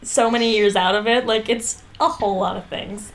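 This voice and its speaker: A woman's voice: medium pitch, fairly even intonation, normal speed. A young woman co-hosting a podcast, chatting casually and irreverently with slang and free swearing. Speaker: podcast co-host.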